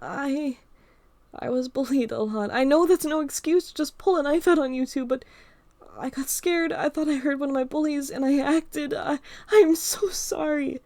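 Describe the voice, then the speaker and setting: A woman's voice: high voice, moderate pace, warm tone, no echo, voice pushed up. A woman reading a story, voicing a frightened teenage girl who stammers out apologies while crying. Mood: panicked, pleading. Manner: stammering, pleading.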